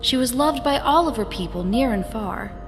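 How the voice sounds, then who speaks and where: A woman's voice: a little high, animated, moderate pace, vocal fry. A woman narrator telling a myth in a solemn, scripted storytelling style. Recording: long reverb, music behind.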